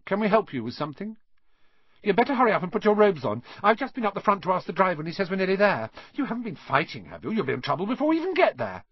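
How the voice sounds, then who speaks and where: A man's voice: sits high, big pitch swings, racing, breathy edge, dry recording, dull audio, pitched above the performer's own voice, full character voice. A man voicing a bossy, prim young schoolgirl know-it-all in a storybook, with a sniffy, officious manner.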